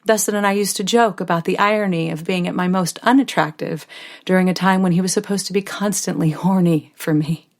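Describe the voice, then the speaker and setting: An actress playing a tired, loving young mother who tells her story with intimate, wry warmth in a scripted monologue. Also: on the low side, steady tone, a little fast, close to an everyday voice. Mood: tender.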